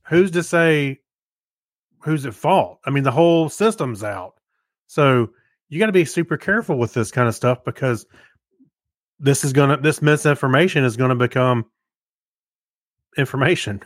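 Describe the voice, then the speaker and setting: Man, podcast co-host, average pitch, dry room-free sound, casual chat, conversational, amused, explanatory. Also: lively intonation, normal speed, long pauses, no room sound.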